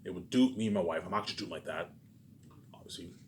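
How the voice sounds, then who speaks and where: A man's voice: sits low, fast, a little hiss or hum. A man delivering a rambling, unscripted monologue to viewers, ranting and swearing, and often repeating himself and the same verbal tags. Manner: repetitive, with frequent verbal tags; profane, ranting. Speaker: vlogger.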